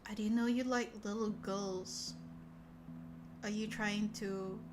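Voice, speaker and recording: A woman's voice: medium pitch, fairly even intonation, slow pace, background noise. A woman hosting a casual live stream chat, talking to viewers in a playful, teasing, giggly manner. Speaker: livestream host. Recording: echoey room, music behind voice. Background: soft background music.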